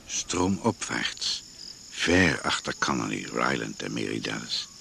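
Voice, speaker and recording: Radio drama narrator, gravelly, rock-bottom voice, solemn, drawn-out phrasing, noisy old recording. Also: male voice, rumbling low, sing-song, sharp tone, exaggerated voice. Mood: solemn, suspenseful. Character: grave, slow storyteller of a jungle expedition adventure.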